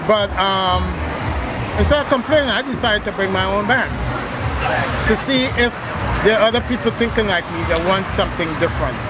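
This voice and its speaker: A man's voice: sits high, normal speed, poor audio. A Trinidadian man who leads a carnival band, chatting easily and joking in a documentary interview. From Trinidad and Tobago. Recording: loud music and crowd noise.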